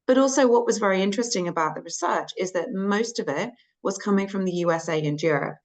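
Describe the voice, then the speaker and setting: A woman speaking as a clinical psychologist in a webinar, her voice croaky today, explaining findings in a measured way with frequent ums. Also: mid-range voice. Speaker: clinical psychologist presenting research. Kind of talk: webinar presentation.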